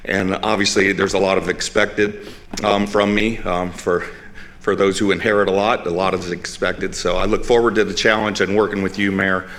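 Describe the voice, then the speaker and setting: A man with a fairly low voice addresses a city council. He sounds earnest and proud and hesitates often on fillers as he speaks. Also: little pitch movement, moderate pace, a bit bright. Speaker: firefighter union leader.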